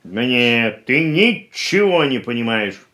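An elderly, thrifty uncle in a radio play. He scolds and dismisses in an exasperated, emphatic way. His pitch leaps about in an animated manner. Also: slow, exaggerated voice. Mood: exasperated, scolding. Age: older.

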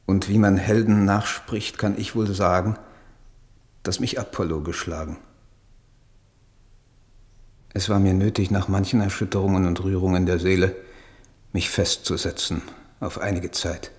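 An actor playing an idealistic, troubled German poet, speaking in a solemn, declamatory radio drama manner. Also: male voice, low voice, flat delivery, moderate pace, darkish tone, slightly rough. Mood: melancholy awe. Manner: solemn, declamatory, elevated diction. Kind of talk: literary radio drama monologue.

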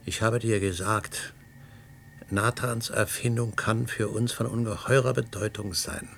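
Gravelly, forceful older man, a debt-ridden scheming professor, agitated and defensive. Radio drama, faint noise. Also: on the low side, steady tone, a little slow. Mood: urgent, scheming. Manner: urgent, agitated, self-justifying. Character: ageing indebted professor, driven, scheming, defensive husband. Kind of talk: German radio play acting. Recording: faintly noisy vintage radio drama recording.

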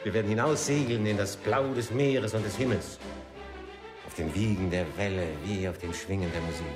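Schwärmerischer Ehemann mittleren Alters von einem Mann gespielt, eher tief. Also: male voice, fairly low pitch, steady tone, moderate pace, over music, close to an everyday voice. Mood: tender, rapturous. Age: middle-aged. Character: romantic, effusive middle-aged husband and lover. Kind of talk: radio play acting.

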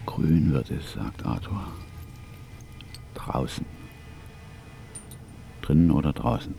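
An actor playing an embittered, suspicious old communist in a psychiatric clinic, in terse radio drama monologue. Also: male voice, a bass voice, expressive, slow, warm tone, soft background music, full character voice. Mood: sullen. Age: older. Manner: terse, grumbling, breathy half-whispers swelling to declamation. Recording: noisy ambience behind the voice.

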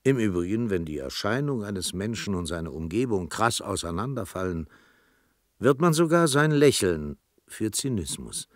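An actor playing a reflective storyteller, narrating a radio drama in a measured, thoughtful, literary way. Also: male voice, lowish voice, sing-song, dry recording, clean studio sound. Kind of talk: scripted radio play narration.

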